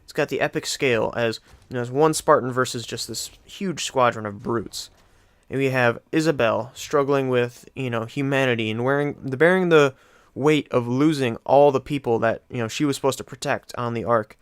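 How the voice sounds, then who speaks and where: A man hosts a video countdown in a casual, unscripted way. His voice is clear and smooth with a mid pitch, and he rambles with enthusiasm. The sound is very dry. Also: normal speed, no echo.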